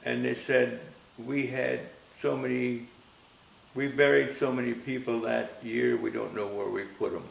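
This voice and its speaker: A man in his nineties, from Austria, reminiscing gently and haltingly in an interview, often repeating words as he recalls. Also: medium pitch, level tone, vocal fry. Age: 90s. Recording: roomy echo with faint hiss.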